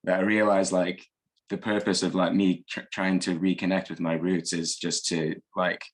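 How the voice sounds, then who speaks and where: A man in his thirties with a slightly hoarse voice, reflecting at an easy pace in a casual group discussion, with many fillers. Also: low-pitched, little pitch movement, moderate pace. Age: 30s. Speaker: discussion participant.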